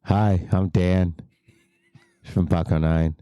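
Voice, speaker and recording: A man in his fifties, a guest in casual chat over beers, laughing often and pausing with ums. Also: low-pitched, steady tone, clean tone, dry recording, dull audio. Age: 50s. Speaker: trombone player, guest.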